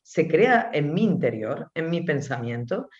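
A woman with a Spanish accent, an author answering interview questions slowly and thoughtfully, pausing often. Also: sits low, sing-song, a little mellow, reverberant, muffled recording. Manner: slow, thoughtful, with many pauses and fillers. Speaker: author and guest.

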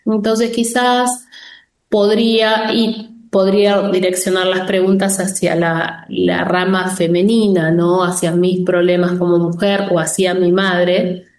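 Woman, spiritual host, gentle and explanatory, roomy echo, mid pitch. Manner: gentle, explanatory, drawn-out phrasing with frequent "¿no?". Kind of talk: answering viewer questions in a live session.

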